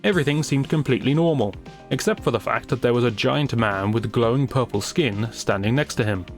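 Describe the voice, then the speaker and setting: Wry, higher-pitched male storyteller. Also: a little fast. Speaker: creator and narrator. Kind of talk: scripted narration, storytelling. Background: music.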